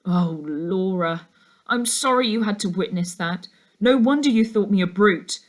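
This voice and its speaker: A woman's voice: mid-range voice, unhurried, lightly acted. A woman voicing a brooding, burdened male detective in a storybook, speaking earnestly and emphatically. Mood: apologetic, indignant.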